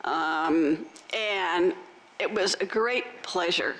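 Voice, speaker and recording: An older woman, vice chair of a board, saying a short earnest farewell at a meeting, unhurried with pauses. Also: on the low side, fairly bright tone, poor audio. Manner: earnest and plain-spoken, slow with pauses. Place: meeting room.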